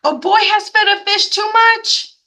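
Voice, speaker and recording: Lady doing an excitable policeman from a kids book, super high, bright, urgent and over the top. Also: full character voice. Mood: alarmed. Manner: exclamatory, drawn-out, urgent. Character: excitable alarmed male policeman in a children's storybook.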